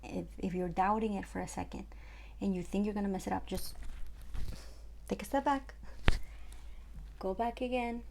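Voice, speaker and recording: A woman's voice: mid-range voice, fairly even intonation, moderate pace, vocal fry, long pauses, noisy background. A young woman from Ecuador with a Spanish accent, chatting casually through a makeup tutorial at home with lots of fillers. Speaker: makeup artist.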